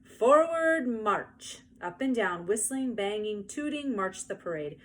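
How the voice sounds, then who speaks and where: A woman narrating a children's book aloud in an expressive storytelling style. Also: medium pitch, normal speed. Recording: faint background noise.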